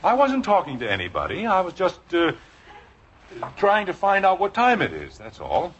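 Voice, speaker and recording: Flustered sitcom dad, wildly swooping voice. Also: male voice, high-pitched, wildly swooping pitch, normal speed, a bit bright, full character voice. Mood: evasive, nervous. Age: middle-aged. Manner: hesitant stammering, exasperated. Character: flustered, evasive sitcom husband and father. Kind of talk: 1950s radio sitcom acting. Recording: noisy, muffled old radio show recording.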